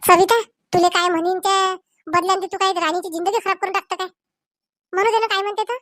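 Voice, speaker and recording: A woman's voice: very high voice, steady tone, quick pace, a bit bright, dry recording, studio quality. A woman voicing chatty, meddling village women in a Marathi comedy cartoon, with a rural Varhadi accent and colloquial, exclamatory talk. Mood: persuasive, eager. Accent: rural Maharashtra, Varhadi Marathi.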